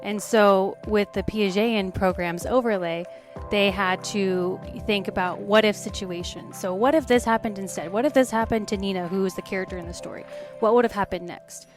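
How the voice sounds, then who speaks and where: Female teacher, average pitch, informal explainer with clear music bed. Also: moderate pace.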